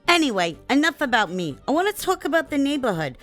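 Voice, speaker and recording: A woman's voice: sits high, big pitch swings, fast, fairly bright tone, soft background music, pitched above the performer's own voice. A woman playing a gossipy older New neighborhood watch lady with a chatty, kvetching manner. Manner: chatty, kvetching, Yiddish-peppered. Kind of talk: comedy sketch monologue.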